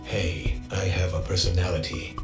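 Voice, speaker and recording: An actor voicing a surly male work robot that has been wiped, tough-talking and flat. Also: low-pitched, moderate pace, lightly acted. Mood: gruff. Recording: game audio over music.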